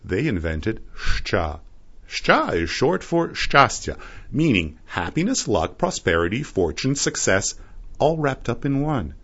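A man's voice: medium pitch, big pitch swings, fairly bright tone, breathy edge. A man from Canada giving a wry, playful scripted radio narration. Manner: wry, playful, slow and deliberate. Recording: dull lo-fi radio broadcast.

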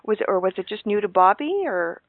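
A woman from Canada hosting a radio program, introducing a guest and asking measured questions. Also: medium pitch, sing-song, no room sound, narrow, tinny audio. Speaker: radio program host, interviewer. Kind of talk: radio interview.

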